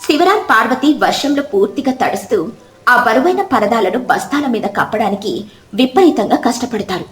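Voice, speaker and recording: High-voiced woman narrator, roomy echo. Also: animated, moderate pace. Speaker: story narrator. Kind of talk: scripted dramatic moral-story narration.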